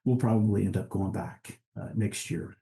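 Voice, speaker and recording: A man, a guest on an interview podcast, talking in a relaxed way with frequent restarts and easy laughs. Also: fairly low pitch, moderate pace, gravelly. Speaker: guest, international trade director. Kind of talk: casual interview conversation.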